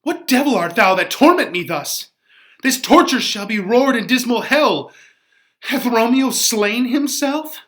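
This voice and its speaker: A man's voice: very high voice, expressive, unhurried, fairly bright tone, full character voice. A man voicing an anguished, passionate young Shakespearean lady, declaiming verse theatrically with fierce emotion. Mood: anguished pleading.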